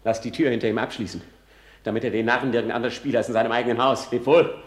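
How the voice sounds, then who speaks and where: An actor playing a bitter, erratic young prince in a classical radio tragedy, swinging theatrically between hushed and shrieking delivery. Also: male voice, medium pitch, fairly even intonation, very fast, lightly acted. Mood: cutting, agitated. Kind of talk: classical stage tragedy in German radio drama. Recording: roomy, reverberant studio sound.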